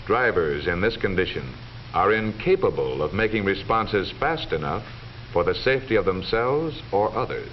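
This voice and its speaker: Male narrator, American, deep and authoritative, for vintage instructional film; muffled period recording with faint noise. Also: animated. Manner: formal, measured, authoritative delivery. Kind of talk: 1940s scripted educational film narration.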